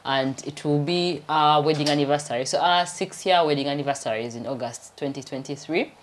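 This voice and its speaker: A Ugandan woman in her thirties hosts a chatty home vlog, laughing often and rambling between thoughts. Her voice is low for a woman, with a faint hiss in the background. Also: fairly quick. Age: 30s. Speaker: vlogger and doctor. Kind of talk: casual vlog chat.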